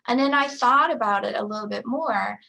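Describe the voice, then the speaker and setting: A woman's voice: a little high, a little fast, creaky, sharp tone, rough recording. A woman in her forties presenting a talk in a conversational way, with plenty of fillers and asides. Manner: conversational, many fillers and "you know". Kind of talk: conference talk.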